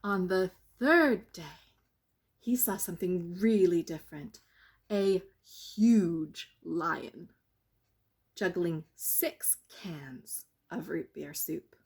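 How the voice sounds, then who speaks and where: A woman reading a children's bedtime story expressively, with deliberate dramatic pauses. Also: fairly low pitch, a little mellow, breathy edge. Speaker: storyteller reading a picture book.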